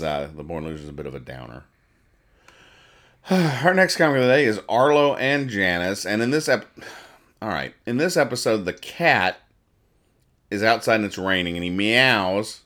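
A man hosting a casual comedy podcast, describing comic strips aloud and explaining their jokes in a rambling, halting, mock-serious way. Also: low voice, fairly bright tone. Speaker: podcast host reading newspaper comics.

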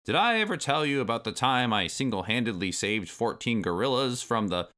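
Storybook role: man performs rough, scolding old granddad ape, bright and forceful, lifted pitch. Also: very animated, a little fast. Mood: grumbling. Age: older. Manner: grumbling, exclamatory old-timer phrasing.

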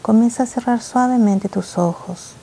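A woman guiding a mindfulness meditation in a soft, slow, gentle way, with pauses between short phrases. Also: fairly high pitch, gravelly, breathy edge. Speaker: meditation guide. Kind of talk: guided mindfulness meditation, scripted and instructional.

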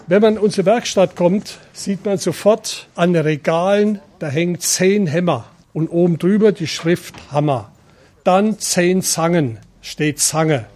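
A man's voice: high-pitched, unhurried, darkish tone. A man who runs a training workshop, explaining in an interview, orderly and matter-of-fact. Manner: explanatory, listing things in an orderly way. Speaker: head of the refugee workshop.

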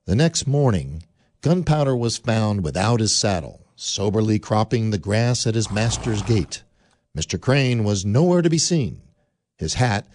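A man's voice: lowish voice, darkish tone, no echo. A man narrating a radio play in a theatrical way, building tension through the telling. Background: music.

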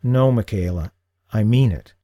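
A man voicing a lonely, regretful middle-aged father with a halting, earnest manner in audiobook dialogue. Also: fairly low pitch, warm tone, dry recording, clean studio sound, close to an everyday voice. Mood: subdued, sincere.

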